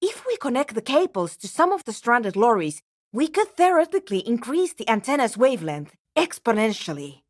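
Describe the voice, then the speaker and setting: A woman's voice: a little high, sing-song, normal speed, no echo. A woman playing a frank, pragmatic female engineer in a video game, speaking in a dry, matter-of-fact and precise way. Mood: brisk, businesslike. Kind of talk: scripted video game character dialogue.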